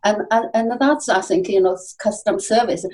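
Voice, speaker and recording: A woman speaking as a podcast guest, answering at length in a halting way with many fillers and restarts. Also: medium pitch, a little mellow. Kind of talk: conversational interview answers.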